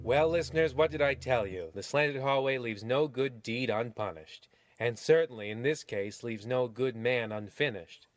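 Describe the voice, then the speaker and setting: A man playing the wry, mock-solemn narrator of a parody old-time radio horror series, theatrical with deliberate pauses. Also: mid-range voice, soft background music, lightly acted. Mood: mock-ominous. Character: wry, ominous male host of spooky radio series.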